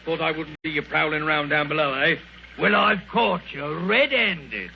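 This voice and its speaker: A man playing a stern, threatening captor in an old radio drama, gruff and menacing. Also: on the high side, normal speed, faint music behind. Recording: muffled, hissy vintage radio recording.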